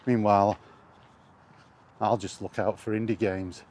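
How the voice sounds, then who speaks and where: A man's voice: lowish voice, flat delivery, measured pace, darkish tone. A man ranting indignantly in a casual spoken vlog, recorded while walking outdoors. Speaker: gaming vlogger.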